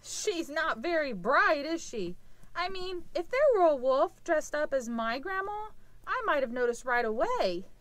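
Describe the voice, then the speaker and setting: A woman voicing an excitable, inquisitive puppet cat who loves superheroes and cheekily interrupts in a children's puppet show. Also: high voice, a little slow, dry recording. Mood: skeptical.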